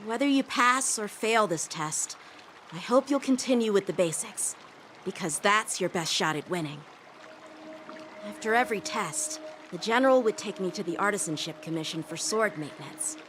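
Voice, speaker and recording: Woman doing a polite young sword boy, anime game, earnest teacher type, mid pitch, music behind. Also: lively intonation, close to an everyday voice. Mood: earnest, encouraging. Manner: courteous, earnest, measured. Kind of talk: anime-style game voice acting.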